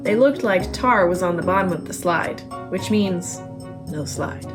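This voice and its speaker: A woman's voice: on the low side, expressive, a little slow. A woman dramatically reading out a written complaint review. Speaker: guest reader of online reviews. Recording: clear music behind the voice.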